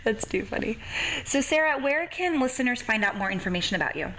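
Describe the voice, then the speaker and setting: A woman's voice: fairly high pitch, animated, a little fast, fairly bright tone, slight background noise, rough recording. An American woman hosting a podcast interview in a casual, informal and agreeable way.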